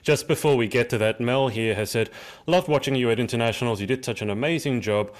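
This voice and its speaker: A man's voice: mid-range voice, fast talker. A man hosting a casual interview podcast, asking questions and backing up his guest with short "yeah"s. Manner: supportive, frequent short backchannels.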